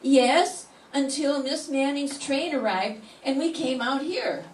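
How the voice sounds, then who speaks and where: A woman's voice: sits high, slow pace. An older woman reading the part of the dead man's young wife in an old-time radio mystery, earnest and insistent. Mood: earnest, defensive. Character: flustered young wife of the murdered man. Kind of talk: 1940s radio mystery acting. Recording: echoey room, distant mic, faint hiss.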